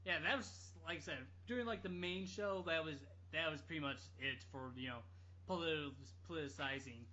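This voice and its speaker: Bright, high-voiced man telling a rambling story. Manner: halting, rambling. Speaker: podcast guest storyteller. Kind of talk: casual podcast storytelling. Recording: dull lo-fi audio.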